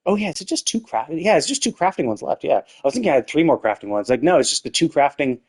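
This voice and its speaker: A man's voice: on the high side, very animated, quick pace, husky. A man chatting casually on a gaming livestream in a rambling, conversational way, with loose, casually profane talk. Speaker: live streamer.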